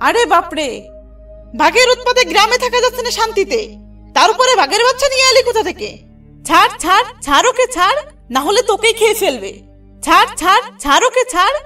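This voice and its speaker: Panicky cartoon village mother played by a woman, extremely high and swooping, scolding urgently. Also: expressive, a little fast, bright, forceful tone, distant mic, faint music behind, exaggerated voice. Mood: panicked. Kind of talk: Bengali cartoon voice acting.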